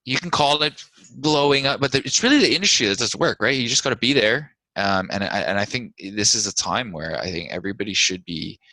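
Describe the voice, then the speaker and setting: A deep-voiced man with a bright, forceful edge talks as an interview guest. He sounds modest and earnest and often restarts his sentences. The sound is dry and close. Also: brisk, hardly any pauses, dry recording, rough recording. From Hawaii. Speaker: guest entrepreneur. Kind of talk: casual interview talk.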